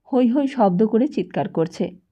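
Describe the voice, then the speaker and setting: Female audiobook narrator, unhurried and expressive literary read, slightly muffled lo-fi sound. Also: fairly high pitch. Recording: dull, lo-fi sound.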